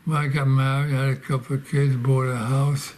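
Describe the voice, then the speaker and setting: A man's voice: mid-range voice, little pitch movement, normal speed, a little mellow, slight background noise. A man in his nineties, born in Poland, answering questions in an oral history interview, often repeating words and restarting. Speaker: veteran interviewee. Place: library interview room.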